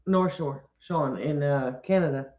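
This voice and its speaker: A woman's voice: sits low, measured pace, dark tone. Chatty woman in a roomy room. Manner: chatty, rambling with restarts. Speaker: homestead channel co-host. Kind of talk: casual livestream chat. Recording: roomy, dull livestream audio.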